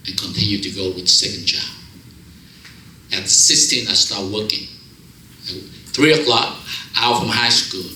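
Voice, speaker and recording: A man's voice: lowish voice, sharp tone, roomy sound, slight background noise, poor audio. A man in his fifties from Vietnam with a Vietnamese accent, telling his life story at a men's fellowship in broken English, with jokes. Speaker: guest speaker. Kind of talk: personal testimony speech. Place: church fellowship hall.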